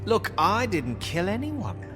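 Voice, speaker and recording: Casting: defiant game wisecracker, highish voice, bouncy inflection, sarcastic, reverberant with music behind. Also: male voice, sing-song, slow pace, heavily acted. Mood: flippant. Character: cheeky, sarcastic, defiant male suspect under questioning. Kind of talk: video game dramatic acting.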